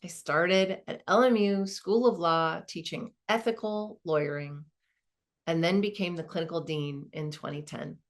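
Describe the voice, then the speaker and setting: A woman's voice: fairly low pitch, measured pace, natural voice. An actress playing a modest woman law school dean, giving a reflective, conversational monologue with small hesitations. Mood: earnest, engaged. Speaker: performer of a dramatized narrative.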